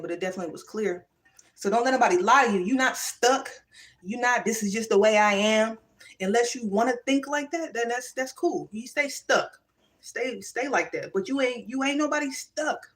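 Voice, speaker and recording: Emphatic woman musing on a livestream. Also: medium pitch. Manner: animated, emphatic, drifts between musing and preachy. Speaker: livestream vlogger. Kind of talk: casual spiritual livestream monologue. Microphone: phone or webcam livestream.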